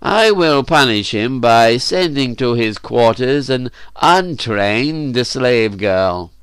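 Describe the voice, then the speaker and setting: A man voicing a commanding slave-house master in an audiobook, deliberate and imperious with a coolly mocking edge. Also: mid-range voice, animated, fairly bright tone, smooth, no echo. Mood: slyly mocking.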